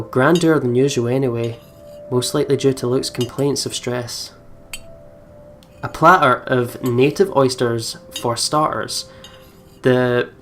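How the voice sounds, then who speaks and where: A woman hosting a let's play, reading the game's narration dramatically and expressively with candid, profane asides. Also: extremely low pitch. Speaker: let's play video host. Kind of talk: voiceover reading of game narration with candid reactions. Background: game music.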